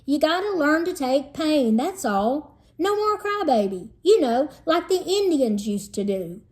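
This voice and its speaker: A woman's voice: sits high, very animated, a little slow, darkish tone, pitched above the performer's own voice. A woman reading a storybook as a cocky, pushy fourth-grade boy with slangy, tough talk. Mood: pushy, insistent.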